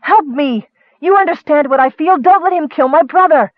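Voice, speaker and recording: A man voicing a fierce, desperate young woman, urgent and shrill, in a fantasy audiobook. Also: moderate pace, no room sound, narrow, tinny audio. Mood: desperate plea.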